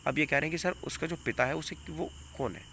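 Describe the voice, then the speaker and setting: A man's voice: on the high side, quick pace, vocal fry, bright, forceful tone. North Indian male teacher, noisy recording. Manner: repeats questions and answers, emphatic, rhetorical questioning. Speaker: exam-prep teacher. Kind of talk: question-by-question exam coaching lesson. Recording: noticeable background noise.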